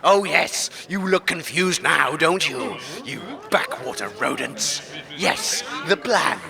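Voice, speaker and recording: A man's voice: fairly high pitch, animated, slow, gravelly, crowd noise, exaggerated voice. A man playing a pompous, ranting wasteland cult leader in a comic audio drama, speaking with theatrical, grandiose contempt. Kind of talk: comedic audio drama acting.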